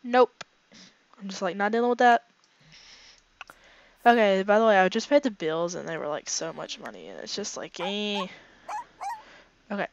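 Hoarse young woman rambling through gameplay. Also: mid-range voice, expressive, moderate pace, long pauses, a little hiss or hum. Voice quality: raspy. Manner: rambling, filler-heavy, chatty. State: hoarse, voice fading from illness. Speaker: gaming channel host. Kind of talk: casual let's play commentary. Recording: slightly dull lo-fi home recording.

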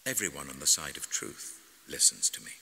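Solemn, unyielding holy man , played by a man, deep and measured, for a scripture drama set in heavy reverb. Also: sing-song, creaky, sharp tone, full character voice. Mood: grave, assured. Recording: long hall-like reverb.

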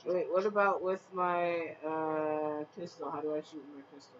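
High-voiced young man yelling at a game. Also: little pitch movement, moderate pace. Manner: loud, boastful, crude joking. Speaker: player. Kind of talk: casual gaming banter. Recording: dull lo-fi mic with faint hiss.

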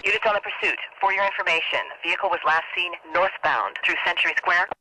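Fast-talking police dispatcher, noisy radio. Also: male voice, high-pitched, full character voice. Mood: businesslike. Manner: formal, procedural. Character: brisk, procedural male police dispatcher. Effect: police radio filter. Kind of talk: video game police radio chatter. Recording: loud static-like radio noise.

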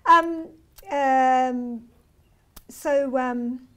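Higher-pitched woman hosting a panel. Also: drawn-out words, fairly bright tone, clear, resonant tone. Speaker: panel host. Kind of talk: informal moderating. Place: indoor studio panel set.

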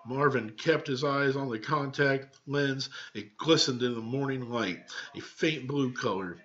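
A man's voice: medium pitch, a little slow, fairly bright tone. Male teacher, middle-aged, story read-aloud in a plain-spoken way, lo-fi home recording. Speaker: teacher reading to students. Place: small home room with cabinets, indoors. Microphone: webcam microphone at arm's length. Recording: dull webcam microphone sound.

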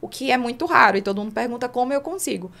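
High-pitched Brazilian woman explaining casually. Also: animated, very fast, creaky, fairly bright tone. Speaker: podcast guest, networking specialist. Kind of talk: podcast discussion, casual explanation. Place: indoor podcast studio. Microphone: close studio microphone on boom arm.

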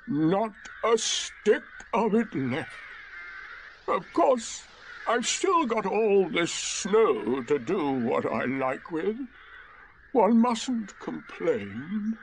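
Very slow, gloomy storybook donkey. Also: male voice, high voice, big pitch swings, a little hiss or hum, pitched above the performer's own voice, exaggerated voice, low-quality recording. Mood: resigned self-pity. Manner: slow, drawn-out, lugubrious complaining. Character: gloomy, melancholy, self-pitying old donkey. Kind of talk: children's storybook character voice.

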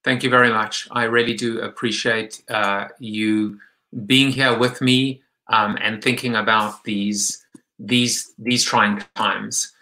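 A man in his forties from South Africa hosting a live online show from home on a webcam, talking in a halting way full of fillers. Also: lowish voice, flat delivery, sharp tone, poor audio. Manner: halting, many fillers and restarts. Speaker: host. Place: home room with lamp and blinds. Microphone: webcam at desk.

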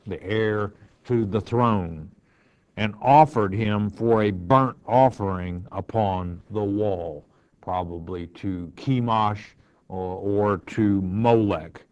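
A man's voice: on the low side, darkish tone. An older man, a pastor, teaching through the Bible verse by verse, reading scripture aloud and then explaining it in plain words with many fillers. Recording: dull lo-fi broadcast sound.

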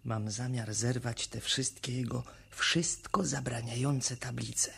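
A man playing an easygoing wandering vagabond in a 1970s radio play, calm and conspiratorial. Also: mid-range voice, takes it slowly, creaky, husky, full character voice. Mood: quietly determined. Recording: noisy vintage vinyl radio play.

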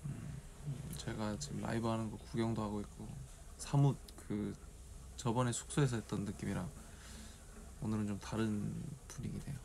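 A man's voice: fairly low pitch, steady tone, normal speed, gravelly, warm tone, a little hiss or hum. A man in his twenties with a regional Korean dialect accent, hosting a casual late-night phone livestream in a dim room, drowsy and meandering as he mumbles to himself. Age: 20s. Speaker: idol livestream host. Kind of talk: casual solo livestream chat with viewers. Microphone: phone camera at arm's length.

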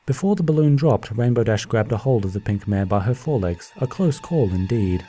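Casting: male narrator, fairly low voice, scripted comedic storybook read, music bed. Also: expressive, brisk, warm tone.

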